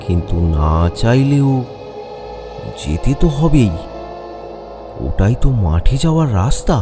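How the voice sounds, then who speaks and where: A man's voice: mid-range voice, big pitch swings, measured pace, over music. A man narrating a scripted horror story with dramatic storytelling delivery.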